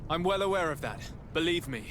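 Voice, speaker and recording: Actor as earnest, formal young noble hero. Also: male voice, lively intonation, fairly quick, natural voice. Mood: earnest resolve. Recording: clear rumbling outdoor noise behind.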